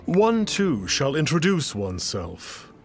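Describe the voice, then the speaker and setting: A man's voice: a little high, wildly swooping pitch, slow pace, full character voice. A man voicing a pompous, grandiose self-styled immortal in a video game, with stately, archaic formal phrasing. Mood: lofty, self-important. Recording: music playing behind the voice.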